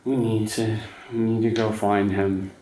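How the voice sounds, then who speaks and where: A man voicing a frightened, battered young woman who mutters and repeats herself haltingly, pleading for help in a tabletop game. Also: on the low side, little pitch movement, dark tone, far from the mic, close to an everyday voice. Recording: roomy echo, faint noise, off-mic.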